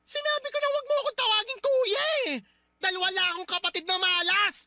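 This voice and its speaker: An actor playing a cruel, hot-tempered male landlord who scolds and shouts insults in a radio drama. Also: extremely high pitch, steady tone, fast, dry recording, heavily acted. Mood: angry, bullying. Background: music.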